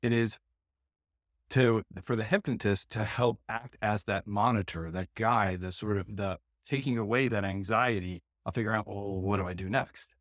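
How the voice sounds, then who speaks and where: A man's voice: fairly low pitch, fairly even intonation, normal speed, no echo, clean studio sound. A man hosting a podcast, musing aloud and looking for words with frequent fillers. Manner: rambling, searching for words, many "right?"s. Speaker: podcast host. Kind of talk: podcast interview, reflective questioning.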